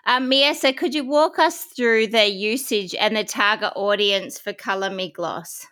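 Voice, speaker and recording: A woman's voice: mid-range voice, unhurried, fairly bright tone. A woman hosting a podcast interview with prepared questions and introductions. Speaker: podcast host.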